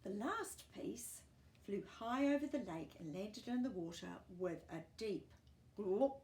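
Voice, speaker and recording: Slow, very expressive woman reading a children's story. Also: mid-range voice, reverberant. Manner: animated, encouraging, asks the listener questions.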